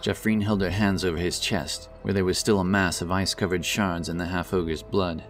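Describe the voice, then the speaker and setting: Casting: deep male storyteller, solemn and dramatic, for scripted fantasy narration over strong music. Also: steady tone, moderate pace.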